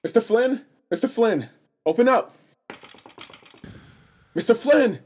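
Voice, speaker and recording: A man's voice: very high-pitched, animated, slow, a little mellow, slightly rough, full character voice. A man playing an earnest, polite, easily shocked young man in a radio play, proper and pleading. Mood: urgent.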